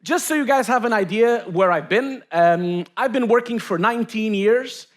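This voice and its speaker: Middle-aged man presenting, high-pitched, chatty and joking, from portugal. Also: moderate pace. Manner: self-mocking, chatty, many fillers. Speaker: conference presenter.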